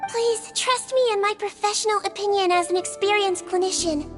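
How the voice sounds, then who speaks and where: A very high, childlike and sweet nurse creature in a video game, speaks with cutesy earnestness. Music plays behind the voice. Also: female voice, fairly even intonation, fairly quick. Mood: earnest. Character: sweet, earnest young female Melusine head nurse. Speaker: head nurse. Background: background music.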